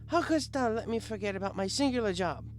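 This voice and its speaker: A man voicing an imperious, excitable pony princess in a story reading, with commanding, archaic royal speech. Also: high-pitched, fast, soft background music, pitched above the performer's own voice. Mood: indignant.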